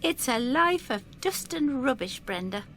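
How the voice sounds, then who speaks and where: A woman's voice: sits high, animated, unhurried, a bit bright, slight background noise. An actress playing a vague, spaced-out woman in her seventies, wistful and deluded, in an audio drama. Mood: wistful rapture.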